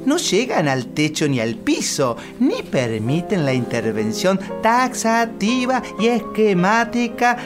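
A woman's voice: mid-range voice, sing-song, takes it slowly, few pauses, over music. A woman voicing a self-important, long-winded male politician who talks in technical jargon, with an Argentine accent. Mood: lofty. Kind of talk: political satire radio sketch.

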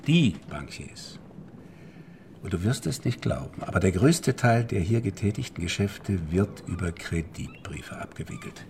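A shrewd former banker turned forger in a historical radio drama. His low voice moves in lively swings as he lays things out step by step with pointed pauses. A faint noise sits behind him. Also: exaggerated voice. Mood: explaining, sly. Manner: deliberate, explanatory, with pointed pauses.

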